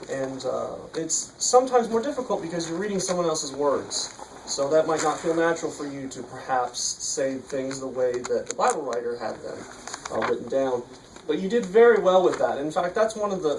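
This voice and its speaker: A man with a somewhat high voice offers informal, encouraging feedback as a meeting overseer. He hesitates often as he goes. He sounds far from the microphone, with some noise in the recording. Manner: encouraging, hesitant with frequent pauses. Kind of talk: informal spoken critique to an audience. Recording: distant mic, noisy room recording.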